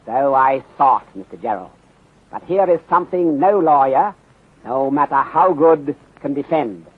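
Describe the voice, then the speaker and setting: A calm detective inspector with a put-on Chinese accent, speaking in measured, formal, clipped sentences. His husky voice comes through a narrow, phone-like 1930s radio recording. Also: medium pitch. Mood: calm, accusing.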